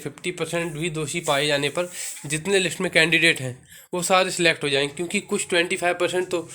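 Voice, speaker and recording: A man talks through figures like a coaching teacher. His voice sits a little high and has a bright, forceful edge. He explains informally and keeps checking that listeners are following. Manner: didactic, checks in with "theek hai". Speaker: exam-coaching video host.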